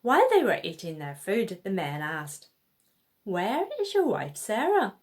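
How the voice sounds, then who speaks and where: A woman reads a story aloud for children at a slow, unhurried pace. Her delivery is expressive and her pitch moves widely up and down, bringing out the dialogue as she narrates. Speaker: children's Bible story reader.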